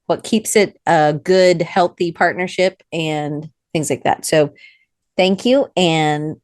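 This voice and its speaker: A woman's voice: deep voice, takes it slowly, a little mellow, no echo, clean studio sound. Bubbly American woman hosting a podcast. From United States. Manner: bubbly, rambling, with restarts and laughs. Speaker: podcast host. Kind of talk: casual interview hosting.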